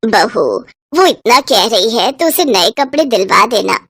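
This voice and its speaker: A woman voicing an elderly, sick grandmother in a cartoon, speaking gently. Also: fairly high pitch, expressive, unhurried, husky, pitched below the performer's own voice, heavily acted. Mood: gentle.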